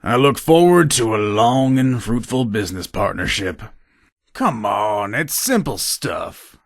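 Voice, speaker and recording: A man's voice: fairly low pitch, sing-song, unhurried, vocal fry, no echo, studio quality, heavily acted. An actor playing a shrewd, businesslike gun trader in a video game, talking in casual, hard-bargaining salesman patter. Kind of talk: video game character dialogue.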